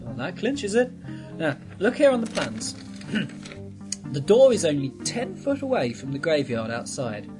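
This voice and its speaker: A performer playing a flustered, sceptical local helper in a sci-fi radio drama, hesitant and expressive. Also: mid-range voice, a little slow. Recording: music under the voice.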